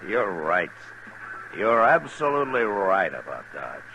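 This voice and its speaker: A man playing a steady, patient US marshal with an American accent, calm and laconic, in 1950s western radio drama style. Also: sits low, measured pace, lo-fi sound, full character voice. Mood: measured, dry. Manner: calm, laconic, plain-spoken. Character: steady, patient, watchful US marshal of the Old West. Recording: faintly noisy vintage radio broadcast.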